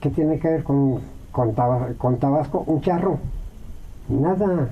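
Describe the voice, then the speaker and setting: A Mexican man, a radio guest, answering at length in a reflective way, with many pauses and fillers. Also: fairly high pitch, muffled recording, slight background noise.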